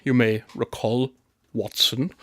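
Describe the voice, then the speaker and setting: An actor playing an aloof, methodical detective in a radio drama, with a dry, pompous, clipped manner. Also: male voice, mid-range voice, fairly even intonation, takes it slowly, fairly bright tone, no room sound. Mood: dry deduction. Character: aloof, methodical male detective in the Sherlock Holmes mould.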